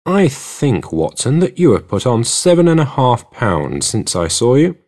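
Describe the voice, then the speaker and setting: A man voicing a keen, superior, teasing male detective in an audiobook, brisk, assured and precise. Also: mid-range voice, big pitch swings, darkish tone. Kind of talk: audiobook character dialogue.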